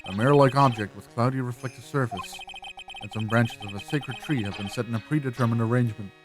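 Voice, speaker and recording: A man plays a young defense lawyer in a video game, earnest and easily flustered. The medium-pitched voice muses wryly and hesitantly. Music plays clearly behind it. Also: fast talker, lightly acted. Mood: musing. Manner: wry, hesitant, musing. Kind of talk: amateur video game voice-over.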